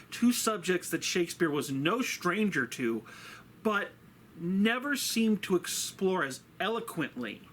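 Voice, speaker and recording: A man teaching and storytelling from a script, with a theatrical, emphatic delivery and dramatic pauses. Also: fairly high pitch, sharp tone, slight background noise. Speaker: teacher and host of a story series. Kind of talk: scripted literary lesson and storytelling.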